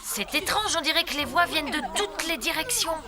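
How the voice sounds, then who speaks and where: Lively, high-voiced young lion cub. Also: female voice, brisk, a crowd in the background. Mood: puzzled, apologetic. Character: kind, polite young lion cub, peace-loving. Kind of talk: dubbed children's cartoon acting. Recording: music under the voice.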